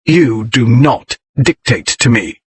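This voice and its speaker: A man voicing a quick-tempered young nobleman who speaks in a curt, stern, commanding way with a husky tone. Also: mid-range voice, expressive, pitched below the performer's own voice, heavily acted.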